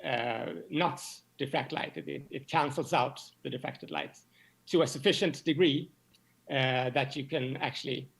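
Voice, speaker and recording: A man explaining science as an interview guest, halting and often repeating words as he goes. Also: medium pitch, gravelly. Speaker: astronomy professor, interview guest.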